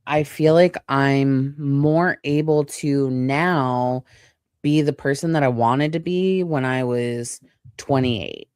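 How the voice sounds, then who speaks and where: A woman's voice: a bass voice, slow pace, darkish tone, smooth, no echo, clean studio sound. A woman in her late thirties chatting casually as a podcast co-host, rambling and acting out imagined quotes. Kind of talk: casual personal chat.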